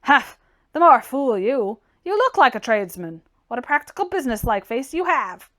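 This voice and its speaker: A blunt, mocking young gentleman of trade from a classic novel, acted out by a woman reader. The pitch sits fairly high and swings with great animation. He is opinionated and brusque, throwing out scornful exclamations with emphasis. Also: big pitch swings, normal speed. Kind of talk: dramatized audiobook character reading.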